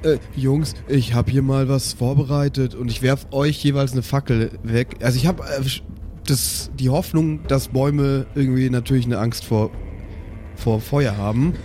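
Mellow-voiced man in casual tabletop play. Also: medium pitch, steady tone, normal speed, slight background noise. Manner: slangy, drops in English phrases. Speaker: player in a tabletop podcast. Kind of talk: casual improvised game chat.

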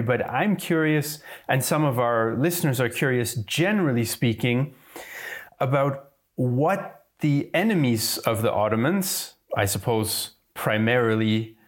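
A man hosting a podcast, asking a curious, conversational question with occasional restarts. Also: medium pitch. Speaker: podcast host. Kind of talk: interview question.

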